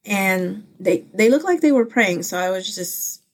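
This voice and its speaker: A woman's voice: mid-range voice, animated, measured pace. Earnest rambling woman, Filipino-born. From Philippines. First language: Pangasinan and Ilocano. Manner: earnest and heartfelt, rambling with many fillers and restarts. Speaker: channel host. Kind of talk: casual personal faith vlog.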